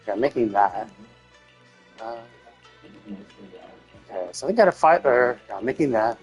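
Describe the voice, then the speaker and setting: A man's voice: mid-range voice, lively intonation, moderate pace, slightly rough, soft background music. A man doing casual live game commentary, thinking aloud in a rambling way and swearing casually.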